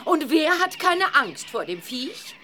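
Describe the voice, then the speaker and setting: An excitable, cheeky adventuress with a high, swooping voice. She is theatrical and exclamatory, a bold family friend from a children's audio play. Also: slow, a little hiss or hum, exaggerated voice. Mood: sly, knowing. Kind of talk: children's audio drama acting.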